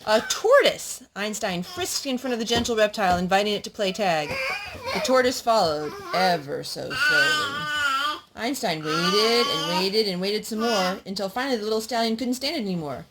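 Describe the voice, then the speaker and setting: Female, mid-pitched, expressive mother reading and singing for little children. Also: moderate pace, very noisy. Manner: expressive, speaking to small children with pet names. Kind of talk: sing-song children's storytime with read-aloud and songs.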